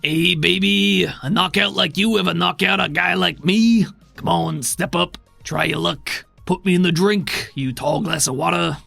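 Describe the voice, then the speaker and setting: Guy doing a slimy small frogman, pervy flirty heckler, greasy voice, kinda raised pitch, game character. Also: creaky, pushed and bright, dry recording, faint music behind, heavily acted. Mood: leering, goading. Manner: leering, drawn-out flirtatious taunting.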